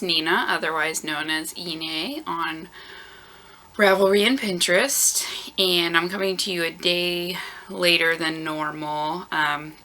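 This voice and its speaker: Tired thirtysomething woman chatting about knitting on her camera mic, really low bright-edged voice, kinda groggy, lots of ums. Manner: relaxed and chatty, frequent ums. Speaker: knitting podcast host. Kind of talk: casual video podcast chat. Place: warm room at home. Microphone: camera microphone.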